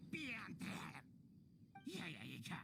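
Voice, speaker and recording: A performer voicing a grunting goblin monster that jabbers gibberish in a video game, with a gravelly voice. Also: mid-range voice, wildly swooping pitch, slow pace, background noise, exaggerated voice. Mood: agitated. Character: grunting hilichurl goblin monster speaking gibberish, cheerful then angry.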